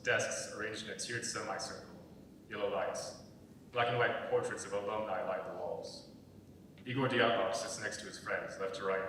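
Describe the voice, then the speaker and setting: A man reading scripted narration and stage directions for a dramatic reading. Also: fairly bright tone. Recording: echoey hall, distant mic, faint rumble.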